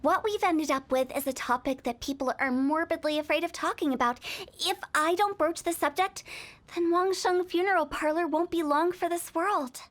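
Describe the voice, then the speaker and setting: A woman's voice: very high voice, normal speed, a bit bright. An actress playing a mischievous, teasing young woman who runs a funeral parlor in a video game. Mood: playful. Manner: teasing, joking, with sly afterthoughts.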